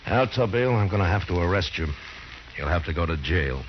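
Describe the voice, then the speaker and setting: Deep-voiced stern western marshal. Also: male voice, animated. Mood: firm, calm. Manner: terse, authoritative, measured. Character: stern, level-headed frontier marshal in a western. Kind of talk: old-time radio western acting. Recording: noisy, dull old radio drama recording.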